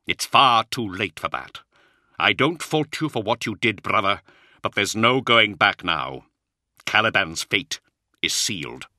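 A gaunt, brooding lord of knights, acted out by a male narrator. His low voice is rough and worn, and he speaks slowly and gravely, as a commander in a dark audiobook tale. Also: expressive, dry recording, clean studio sound, full character voice. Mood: bleak. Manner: slow, deliberate, grave. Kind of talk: audiobook character acting.

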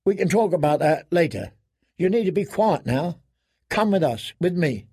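A man voicing a haunted, maimed man in an audiobook, coaxing gently in an earnest, careful, hushed manner. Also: a little high, expressive, moderate pace, slightly rough, voice pushed up. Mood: gentle coaxing. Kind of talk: dramatic audiobook character reading.

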